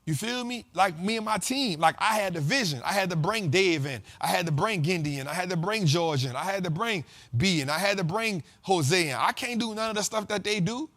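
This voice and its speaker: A man's voice: high voice, animated, brisk, hardly any pauses, a bit bright. A man hosting a finance talk show, speaking in a casual, slangy way and building up repeated lines like a preacher. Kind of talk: casual motivational monologue.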